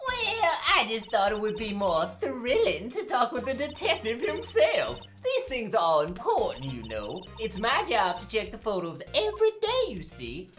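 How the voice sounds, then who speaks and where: A woman's voice: mid-range voice, fairly bright tone. A woman voicing a nosy, scolding old female security guard in a video game, with a folksy, rambling way of talking. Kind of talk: exaggerated video game character acting. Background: music.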